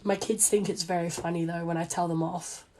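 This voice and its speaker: A young Australian woman with a hoarse, failing voice chats casually and self-deprecatingly on a livestream as she works. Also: lowish voice, little pitch movement, a little fast, darkish tone. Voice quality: hoarse, weakened voice. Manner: rambling, self-deprecating, informal. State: losing voice after illness. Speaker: small business owner and crafter livestreaming. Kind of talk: casual chatty livestream while sewing. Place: indoor home sewing workspace. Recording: noisy room with clicking sounds.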